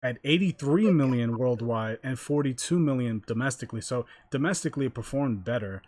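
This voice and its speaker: A man hosting a casual group discussion, reading out details in a measured way. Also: mid-range voice, lively intonation, darkish tone. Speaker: podcast host.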